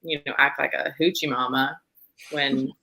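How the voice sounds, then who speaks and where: A woman's voice: extremely low pitch, fairly even intonation, moderate pace, vocal fry, pushed and bright, rough recording. A woman hosting a casual book chat live show, repeating phrases for emphasis.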